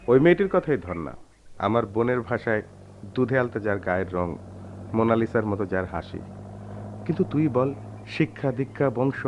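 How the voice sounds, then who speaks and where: A man's voice: medium pitch, close to an everyday voice. An actor playing a melancholy young man who lost a leg, speaking poetically in a radio drama. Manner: wistful, poetic, theatrical delivery. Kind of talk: Bengali radio drama acting. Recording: dull, noisy old radio drama recording.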